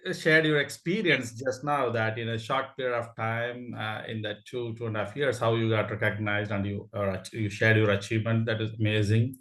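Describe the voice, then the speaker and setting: A man with an Indian accent speaks eagerly on a video call, laughing often and stumbling into restarts as he talks. His voice sits at an average pitch and carries a noticeably roomy echo. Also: fairly bright tone. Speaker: club member sharing a story. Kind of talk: casual meeting talk. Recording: echoey room.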